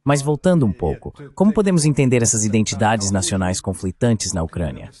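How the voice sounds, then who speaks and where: A man's voice: on the low side, animated, fairly quick, dark tone. A man voicing the translation of an interviewer's remarks, in a conversational commentary style. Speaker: voice-over translator of an interview host.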